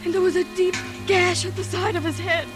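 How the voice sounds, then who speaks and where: An actress playing a terrified woman in an old radio thriller, with breathless, halting, melodramatic delivery. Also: very high voice, level tone. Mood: desperate pleading. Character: terrified woman recounting her mad sister's deadly menace. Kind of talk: 1940s radio suspense acting, dramatic first-person narration. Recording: old radio drama, music behind.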